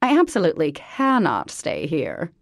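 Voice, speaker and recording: A woman's voice: low voice, wildly swooping pitch, moderate pace, gravelly, no room sound, studio quality, heavily acted. A woman voicing a vain, bossy middle-aged society mother in an audiobook, theatrical and dramatic. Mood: haughty. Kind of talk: audiobook character voice.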